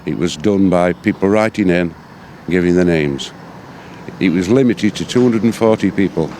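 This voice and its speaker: A man's voice: low voice, normal speed, warm tone, slight background noise. An older man with an English accent, speaking plainly as he recounts his research in an interview. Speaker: interviewee, local researcher and author. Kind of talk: interview recollection.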